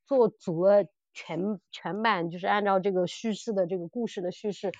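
A slightly muffled, very dry recording of a woman podcast co-host. She talks casually at a middling pitch, telling things in an animated way and circling back over her phrases. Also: normal speed, no room sound. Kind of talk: casual chat about audio dramas.

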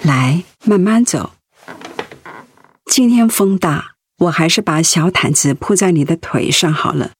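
An actress playing a devoted, anxious wife in a radio drama, speaking tenderly and earnestly with feeling. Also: female voice, lowish voice, lively intonation, unhurried, slight background noise. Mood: caring. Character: devoted, anxious wife caring for her sick husband.

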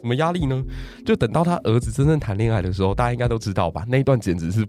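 A man's voice: medium pitch, very fast, faint music behind. A man with a Taiwanese Mandarin accent, a podcast guest telling a history story and asking listeners rhetorical questions. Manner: animated, asks the audience rhetorical questions.

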